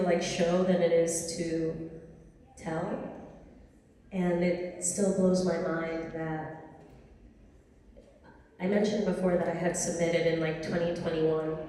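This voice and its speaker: A woman's voice: fairly low pitch, warm tone, far from the mic. A woman singer from the Dominican Republic, chatting casually in an onstage interview, loose and full of fillers. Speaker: singer and gigging musician. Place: live music venue. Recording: echoey hall heard from afar.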